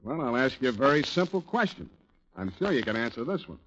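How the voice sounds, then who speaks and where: An actor playing a cold, sarcastic police detective grilling a suspect, in 1940s radio drama style. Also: male voice, mid-range voice, big pitch swings, brisk. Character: hard-nosed sarcastic male police detective. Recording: dull old radio recording.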